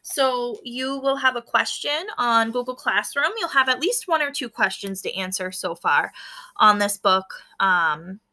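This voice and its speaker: A woman's voice: fairly high pitch, unhurried, bright, forceful tone. A woman schoolteacher reading a children's book aloud and explaining it directly to her pupils. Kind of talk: children's read-aloud with teaching commentary.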